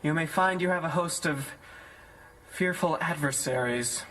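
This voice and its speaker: A man's voice: on the high side, unhurried, pushed and bright, poor audio. A man playing an earnest, cautious young confidant in an audio drama, speaking in a hesitant, cautioning way. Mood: worried.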